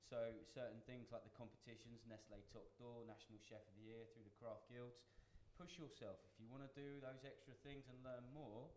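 A man's voice: mid-range voice, fairly even intonation, fairly quick, few pauses, a little mellow. A man in his forties, a chef on a panel, talking earnestly to students with many restarts. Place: large function room with audience. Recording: echoey hall, faint room noise.

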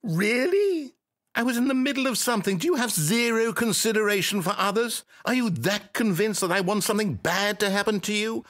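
A man's voice: sits high, a bit bright, heavily acted. A man playing a fussy, controlling video game narrator who talks in a dry, sarcastic, mock-patient way. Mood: indignant.

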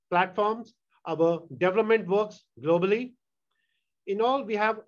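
A man giving a keynote presentation over a video call, walking through his slides in a steady, explanatory way. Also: high-pitched, lo-fi sound. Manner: steady, explanatory slide walkthrough with occasional restarts. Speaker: keynote speaker, sales executive. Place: indoor room, on video call. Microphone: video call webcam mic.

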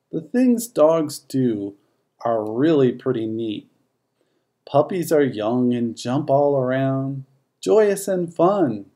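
Slow, mellow storybook narrator. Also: medium pitch. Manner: measured, expressive reading. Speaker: audiobook narrator. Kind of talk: scripted children's storybook narration in rhyme.

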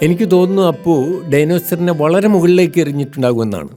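A woman's voice: on the low side, animated, fast, mellow, faint music behind, close to an everyday voice. A woman voicing a patient, encouraging parent bee who explains things in a children's cartoon.

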